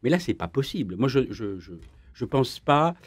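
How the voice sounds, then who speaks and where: A man's voice: big pitch swings, moderate pace, no room sound. Emphatic male historian on radio. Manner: emphatic, rising pitch when pressing a point, many restarts. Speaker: historian guest. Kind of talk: radio debate. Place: radio studio.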